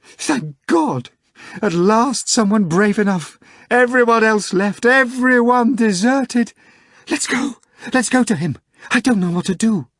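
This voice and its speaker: A woman's voice: very animated, slow, pauses often, a little mellow, breathy edge, dry recording, clean studio sound, heavily acted. A man voicing a hysterical young female secretary who wails through her tears in a slightly nasal, breathless way. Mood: frantic. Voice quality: a little nasal from crying. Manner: shrill, frantic, breathless wailing. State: crying. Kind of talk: audiobook character voice.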